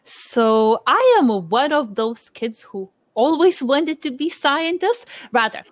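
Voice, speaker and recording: A woman's voice: high voice, sing-song, slow pace, dry recording. A woman in her forties from Lithuania, a research scientist answering interview questions in a thoughtful, hesitant way with many fillers.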